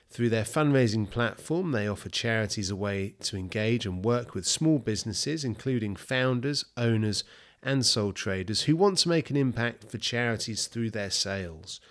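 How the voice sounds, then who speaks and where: A man hosting a podcast, reading a sponsor message and then asking halting, self-interrupting questions. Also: on the low side, expressive. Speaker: podcast host. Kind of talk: scripted sponsor read, then casual interview.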